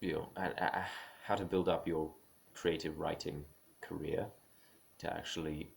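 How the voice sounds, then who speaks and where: A man with a very low voice that keeps sliding into a gravelly fry. He muses aloud without a script, stumbling and restarting often and dropping small laughs between his points. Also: level tone, a little slow. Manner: musing, opinionated. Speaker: speaker on creative careers. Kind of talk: unscripted spoken monologue.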